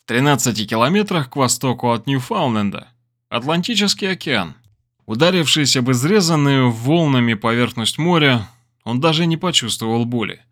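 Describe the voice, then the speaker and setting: Male audiobook narrator, medium pitch, dramatic scripted delivery, deliberate, expressive storytelling.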